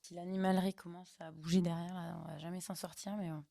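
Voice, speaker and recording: A woman's voice: lowish voice, little pitch movement, quick pace, gravelly, warm tone, no echo, clean studio sound. A young woman from northern France, casually telling travel stories with chatty, laughing asides. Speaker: vlogger. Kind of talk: casual storytelling.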